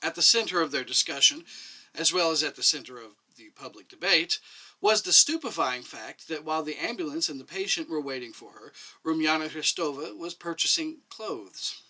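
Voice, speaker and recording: A man reads literary fiction in a very bright, forceful voice with lively intonation. He narrates from a script and shifts into acted dialogue. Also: on the high side, normal speed, rough recording. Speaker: reader of a short story.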